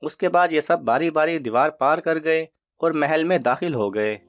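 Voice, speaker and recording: A man's voice: a little high, no room sound. A man narrating a scripted moral story for children, as a storyteller. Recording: muffled audio with music underneath. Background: music.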